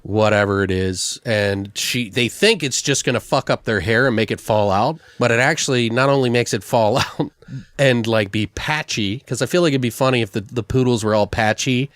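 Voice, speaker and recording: A man's voice: mid-range voice, moderate pace, fairly bright tone, no room sound, clean studio sound. A man co-hosting a podcast, brainstorming casually in a joking, foul-mouthed way. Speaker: podcast co-host.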